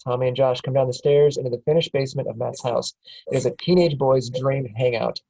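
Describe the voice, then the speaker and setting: A man reading the stage directions aloud at a script table reading, in a plain, steady way. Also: medium pitch, brisk, vocal fry, poor audio. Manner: plain, steady, chuckles at times.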